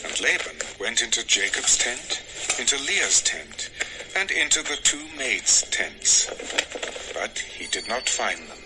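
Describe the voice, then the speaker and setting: A man's voice: medium pitch, big pitch swings, slow pace, over music, low-quality recording. A man narrating a dramatized scripture story in a scripted storytelling style.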